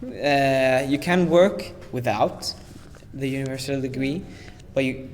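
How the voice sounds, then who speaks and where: A man's voice: mid-range voice, a little slow. An eighteen-year-old Swedish man speaks English as a second language, hesitating and restarting as he explains his point. His voice carries a long hall reverb over faint background noise. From Sweden. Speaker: exchange student. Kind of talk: casual group discussion.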